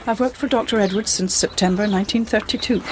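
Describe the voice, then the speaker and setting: A woman's voice: mid-range voice, fairly even intonation, fast, noisy background, lightly acted. An actress playing a disapproving, loyal senior woman nurse with a British accent, starchy and sceptical, in a period radio drama. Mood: matter-of-fact.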